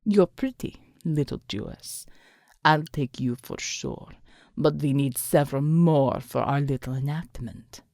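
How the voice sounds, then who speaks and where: A man's voice: on the high side, gravelly, darkish tone, no room sound, clean studio sound, voice pushed down. A woman growling as a snarling male thug. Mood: menacing sneer. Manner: snarling, slow and menacing. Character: cruel sneering male thug leader.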